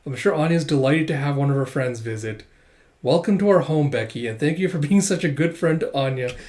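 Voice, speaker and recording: A man's voice: on the high side, fairly quick, darkish tone, close to an everyday voice. A man voicing a polite, gracious young father greeting people courteously in a comic read-aloud. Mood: welcoming.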